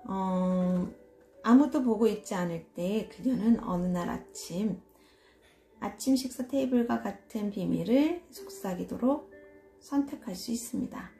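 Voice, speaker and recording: Slow-spoken woman tarot reader from Seoul. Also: mid-range voice, gravelly, faint music behind. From Seoul, South Korea. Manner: slow, hesitant, self-deprecating, frequent fillers and soft laughs. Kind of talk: casual solo video talk explaining tarot cards.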